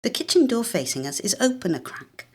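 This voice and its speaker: A woman with a British accent reading a literary short story in a measured, expressive, dramatic way. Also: on the high side, slightly rough. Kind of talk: literary short story narration, first person.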